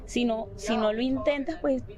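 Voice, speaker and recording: A woman's voice: high-pitched, fairly even intonation, brisk, a crowd in the background. A woman interview guest who talks hesitantly and restarts her phrases. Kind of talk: casual interview chat.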